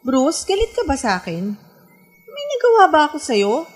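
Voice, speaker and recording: A woman's voice: high-pitched, wildly swooping pitch, moderate pace. An actress with a Filipino accent playing a lovelorn young woman in a radio drama, over a music bed. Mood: hurt, jealous. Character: lovelorn young woman narrating her heartbreak, jealous girlfriend.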